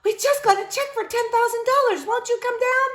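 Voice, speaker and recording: Lady doing excitable townsfolk in a kids book, way high voice, calling out earnestly. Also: a little fast, echoey room. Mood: excited. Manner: high, pleading or exclaiming.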